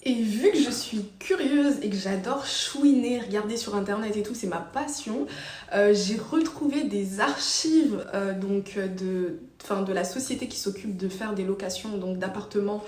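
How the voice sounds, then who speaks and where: A woman's voice: medium pitch, moderate pace, a little mellow. A woman talking casually to her channel, telling her story with lots of fillers and restarts. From Ivory Coast. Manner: chatty, explains with many fillers and restarts. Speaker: vlogger. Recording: echoey room, voice a bit far from the mic.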